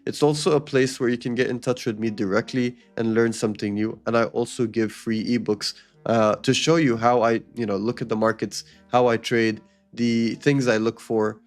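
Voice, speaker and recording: A man's voice: mid-range voice, fairly even intonation, normal speed. Man coaching viewers through live trading. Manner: coaching, repeats "okay?" to check understanding; reads viewer comments aloud and answers them. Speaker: livestream trading host. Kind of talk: casual live-stream commentary to chat.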